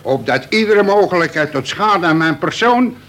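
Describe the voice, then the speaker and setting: An actor playing a wily, mock-deferential veteran convict in a Dutch radio play, reciting pedantically with bits of prison slang. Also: male voice, sits high, lively intonation, moderate pace, a bit bright. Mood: insistent, polite.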